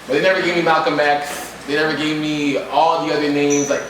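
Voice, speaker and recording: A man's voice: a little high, flat delivery, fairly quick. Young man, event moderator, casual and direct, reverberant room, distant mic, background noise. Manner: encouraging, direct, slangy. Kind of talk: casual talk to a live audience.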